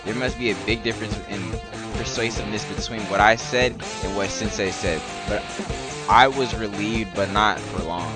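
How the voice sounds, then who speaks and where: A man with a fairly deep voice plays a visual novel for viewers. He reads its narration aloud and breaks into casual, profane slang, with guitar music clearly playing behind him. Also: normal speed. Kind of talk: casual let's play commentary and read-aloud narration. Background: plucked guitar game music.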